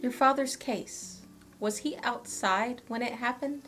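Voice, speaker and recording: A woman's voice: a little high, measured pace, fairly bright tone, soft background music. A woman game streamer giving casual live reactions and reading dialogue aloud.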